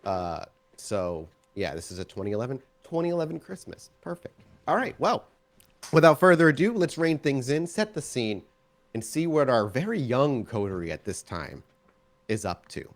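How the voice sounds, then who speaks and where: A man's voice: mid-range voice, big pitch swings, moderate pace. A man running a tabletop roleplaying game as storyteller, narrating scenes descriptively and addressing his players. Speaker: game master.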